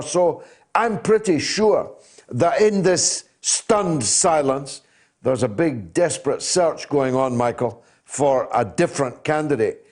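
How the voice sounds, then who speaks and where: A man hosting a call-in radio show, giving emphatic opinions with measured, deliberate pauses. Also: on the high side, darkish tone. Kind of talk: opinionated talk radio commentary.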